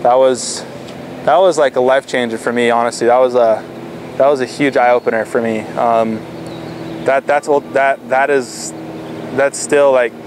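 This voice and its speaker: A teenage man answering interview questions in a relaxed, casual way, with frequent fillers and restarts. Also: mid-range voice, normal speed, background noise. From Florida. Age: teens. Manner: laid-back, lots of fillers and restarts. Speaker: stand-up paddle athlete.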